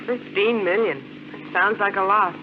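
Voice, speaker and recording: An actress playing a gentle, uneasy young newlywed wife in a 1950s radio drama. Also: female voice, medium pitch, steady tone, normal speed, natural voice. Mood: wondering, uneasy. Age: young adult. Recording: noisy narrow-band 1950s radio recording.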